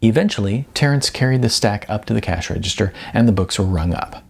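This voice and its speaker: A man's voice: fairly low pitch, expressive, a little fast, creaky, darkish tone, slightly rough. A man narrating a novel as a scripted audiobook.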